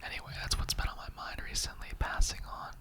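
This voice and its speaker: A performer in a whispered ASMR roleplay, voicing a casual, mildly offended skeleton with a rambling, slangy, conversational way of talking. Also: quick pace.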